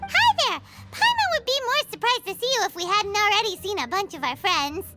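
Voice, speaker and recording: A woman voicing a tiny, excitable female fairy companion in a game cutscene, bubbly and fussy, talking about herself in the third person. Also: very high voice, brisk, heavily acted. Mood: chatty. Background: background game music.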